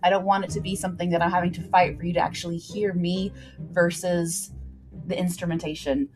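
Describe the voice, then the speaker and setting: A woman's voice: fairly low pitch, flat delivery, brisk. A woman hosting a livestream, chatting informally with viewers and recapping a story, with asides to the chat. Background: background music.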